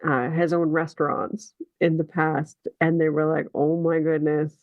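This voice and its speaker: A woman's voice: low-pitched, flat delivery, a little slow, no room sound. A woman hosting a podcast, interviewing in a casual, conversational way. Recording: dull, dry lo-fi audio.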